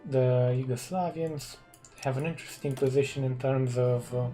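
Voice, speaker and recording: A Romanian man, a non-native English speaker, casually commenting on a strategy game and thinking aloud with hums and pauses. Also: medium pitch, steady tone, music in the background. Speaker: gaming commentator.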